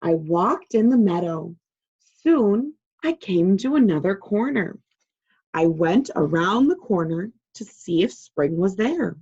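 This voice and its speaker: A woman voicing a friendly frog who calmly and patiently tells a story of his childhood. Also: mid-range voice, takes it slowly, slightly rough, muffled recording, exaggerated voice. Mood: reminiscing.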